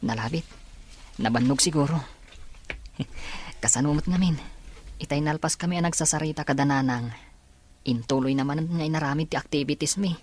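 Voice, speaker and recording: A woman playing a kind, encouraging young male student in a radio drama, speaking gently and reassuringly. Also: low voice, moderate pace, pauses often. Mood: tender. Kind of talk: Ilocano radio drama acting. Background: faint background music.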